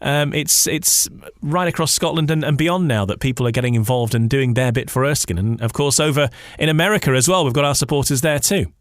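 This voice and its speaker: A man's voice: mid-range voice, brisk, hardly any pauses, dry recording, clean studio sound. A man hosting a conversational radio interview, putting questions and comments to a guest.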